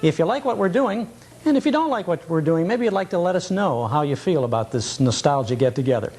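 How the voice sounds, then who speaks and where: A male television host with a fairly high voice. He talks in a relaxed, folksy and conversational manner, with measured phrasing. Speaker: TV show host. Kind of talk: relaxed hosting and interviewing.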